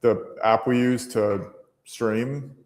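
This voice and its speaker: A man's voice: mid-range voice, fairly even intonation, takes it slowly, darkish tone, big-room sound. A man hosting a live trading stream, talking casually and thinking aloud, with many fillers and restarts.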